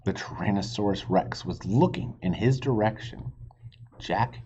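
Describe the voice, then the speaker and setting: A man reading a children's book aloud as a teacher, expressive and pausing to ask listeners questions. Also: lowish voice, breathy edge. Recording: dull lo-fi recording.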